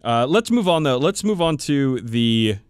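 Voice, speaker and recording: A man's voice: medium pitch, very animated, a little slow, smooth, dry recording, studio quality. A man hosting a podcast, chatting casually and enthusiastically about game lore with emphatic swearing. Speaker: podcast host. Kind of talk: casual, profane hobby chat explaining game lore.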